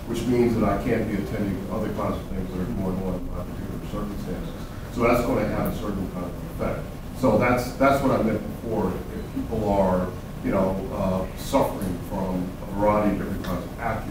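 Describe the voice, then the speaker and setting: A man's voice: on the low side, background noise. A man with a big voice speaking at length as a panelist in an academic discussion. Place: conference room. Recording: echoey room from far off.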